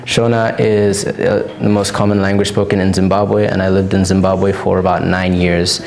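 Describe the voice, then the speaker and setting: A young man in his late teens, a student, calmly explaining his language portrait in a classroom. He talks in a relaxed way and often fills in with "like.". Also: sits low, normal speed, gravelly, a little hiss or hum. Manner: relaxed, explanatory, frequent "like" fillers. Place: classroom indoors. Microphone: lapel. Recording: guitar music underneath.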